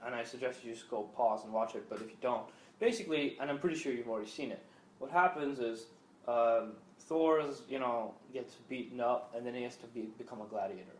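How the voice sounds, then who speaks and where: A man's voice: medium pitch, fairly quick, a little hiss or hum. A man co-hosting a casual film podcast, talking in loose restarts and often checking for agreement with "right?". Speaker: podcast co-host. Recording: echoey room.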